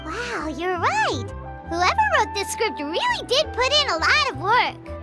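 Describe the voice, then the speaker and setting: A tiny floating fairy girl for a video game. Her childlike voice is extremely high and squeaky, leaping all over in curious, excitable exclamations. Loud music plays behind her. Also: measured pace, full character voice. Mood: chirpy, inquisitive. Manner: speaks of herself in third person, exclamatory. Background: bright playful music.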